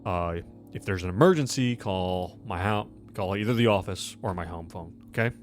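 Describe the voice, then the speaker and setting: A man's voice: low-pitched, moderate pace, soft background music, lightly acted. A man playing a busy government supervisor on a telephone call, giving clipped, hesitant instructions and repeating himself. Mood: brisk, businesslike.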